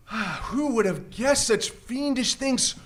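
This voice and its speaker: An actor playing a meek, grateful husband, mild and hesitant, in a live radio drama. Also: male voice, extremely high pitch, lively intonation, takes it slowly, heavily acted. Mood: puzzled, mild. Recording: live stage recording, faint noise.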